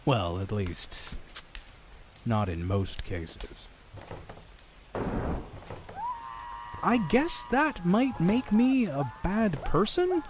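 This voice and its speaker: A man's voice: fairly high pitch, wildly swooping pitch, background noise, heavily acted. Man playing a wry, sinister innkeeper storyteller. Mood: sly, musing. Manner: sardonic, dramatic pauses, conversational asides. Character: wry, sinister innkeeper telling grisly horror tales. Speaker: storytelling narrator in character. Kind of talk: theatrical horror anthology narration, shifting to wry asides.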